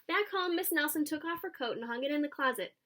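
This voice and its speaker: A woman reading a children's storybook aloud with expressive narration. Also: sits high, fast talker. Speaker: storybook reader.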